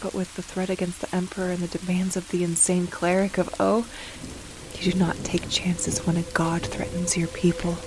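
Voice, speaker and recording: A woman playing a weary, grieving old soldier who narrates a dark fantasy audio drama in a solemn, dramatic manner. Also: fairly low pitch, completely flat delivery, normal speed, noisy background, close to an everyday voice. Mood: wistful, grim.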